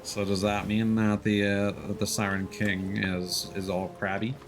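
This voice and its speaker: A man's voice: on the low side, little pitch movement, unhurried, music in the background. A man casually chatting and joking while streaming a video game, in a conversational, slightly hesitant way. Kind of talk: casual chat while gaming. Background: video game sea and ship ambience.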